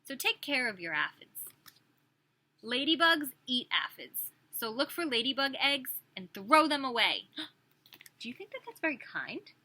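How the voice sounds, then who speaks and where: A woman's voice: sits high, big pitch swings, a little slow, bright, forceful tone. A woman preschool teacher reading a picture book aloud expressively, with questions and chatty asides for small children.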